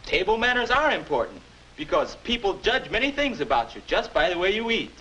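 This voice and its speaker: A man's voice: high voice, big pitch swings, fairly quick, sharp tone, husky, exaggerated voice. A young male actor playing a confident American 21-year-old, patiently persuading and advising in a 1950s educational film. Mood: instructive, persuasive. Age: young adult. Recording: dull 1950s film soundtrack.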